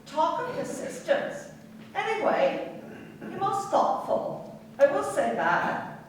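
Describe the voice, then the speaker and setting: An actress playing an aloof, indignant young barmaid with a haughty drawl in a radio drama. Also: female voice, extremely high pitch, sing-song, slow pace, exaggerated voice. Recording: very echoey, distant, faint noise.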